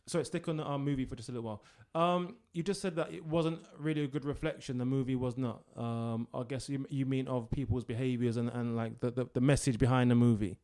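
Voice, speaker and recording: A man's voice: medium pitch, fairly even intonation, fast, hardly any pauses, dark tone. A young man hosting a radio interview, asking long rambling questions full of fillers like "um" and "like". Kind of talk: casual interview.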